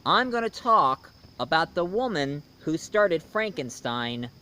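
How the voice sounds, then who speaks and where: A man hosting a casual, unscripted review, talking in a halting, rambling storyteller's way. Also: a little high, big pitch swings, bright, forceful tone. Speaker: host, film and book reviewer. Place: outdoors. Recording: noisy outdoor recording with insects.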